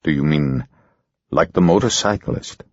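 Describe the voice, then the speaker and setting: A calm, commanding hypnotist, read by a male narrator speaks in soothing, slow, insistent commands from a storybook. His deep voice is husky, and the sound is dry and muffled. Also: no room sound.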